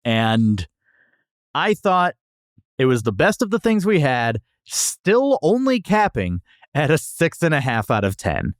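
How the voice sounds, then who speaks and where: A man's voice: fairly high pitch, wildly swooping pitch, unhurried, no room sound, clean studio sound. An American man from Texas hosting a podcast, chatting casually and giving wry, exaggerated comic complaints as he reviews food. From Texas, USA.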